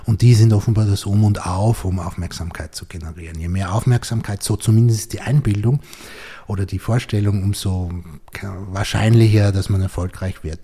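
A man's voice: lowish voice, steady tone, moderate pace, warm tone, slightly rough. A man hosting a podcast interview, reflective and thinking aloud as he talks. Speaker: podcast host. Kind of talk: conversational interview.

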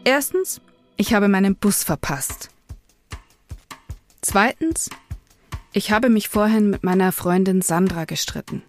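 A woman's voice: medium pitch, expressive, measured pace, long pauses. Self-serious teenage goth girl over music. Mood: confiding, indignant. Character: moody, defiant teenage goth girl writing her diary. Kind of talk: radio play diary monologue. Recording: music behind the voice.

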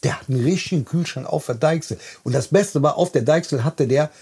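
Husky, animated man. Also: fairly high pitch, fairly quick. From Bonn, Germany. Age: 40s. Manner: animated, enthusiastic storyteller. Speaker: podcast co-host. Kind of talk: casual chat.

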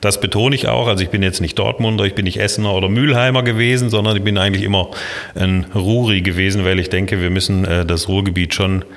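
A man in his fifties with a Swabian-Alemannic accent, a guest on an interview podcast, explaining things in a measured way with frequent hesitations. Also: low-pitched, steady tone, long reverb tail. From Baden-Württemberg, Germany. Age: 50s. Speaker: city planning official, guest.

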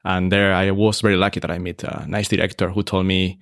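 A man, a hotel expert talking relaxed in an interview, with many fillers and restarts. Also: sits low, a little fast, no echo, clean studio sound. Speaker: hotel operations expert, guest. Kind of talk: casual interview conversation.

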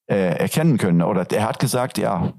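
A man's voice: on the low side, animated, fairly quick, a little mellow, no room sound, studio quality. A man from Turkey, a teacher, answering interview questions in a casual, hesitant way with many fillers. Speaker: guest, teacher.

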